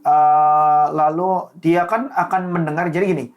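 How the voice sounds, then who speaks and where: A man, hosting a casual chat and telling lively stories with English words mixed in. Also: fairly high pitch, a little slow, dull audio. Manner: animated storyteller, mixes English into talk.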